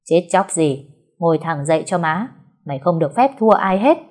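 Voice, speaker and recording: A woman playing a scheming middle-aged mother in an audio drama, bossy and forceful in her scolding. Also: on the low side, expressive, normal speed.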